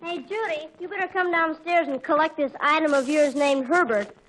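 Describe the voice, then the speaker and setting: High-pitched, wisecracking young boy. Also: moderate pace, fairly bright tone, a little hiss or hum, poor audio. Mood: teasing. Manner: mock-dramatic, teasing. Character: wisecracking, theatrical ten-year-old brother. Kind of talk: 1940s radio sitcom acting.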